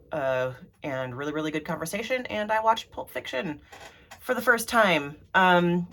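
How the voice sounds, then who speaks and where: Low-voiced woman vlogger, stuffy and throaty from allergies, loose rambling delivery. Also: fairly bright tone. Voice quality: throaty, froggy. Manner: rambly, many ums and restarts. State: congested from allergies. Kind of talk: rambling casual vlog.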